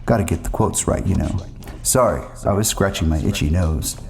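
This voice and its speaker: Low-voiced man telling a rambling story. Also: moderate pace, gravelly, background noise. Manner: wordy, ornate, rambling. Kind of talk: first-person storytelling narration.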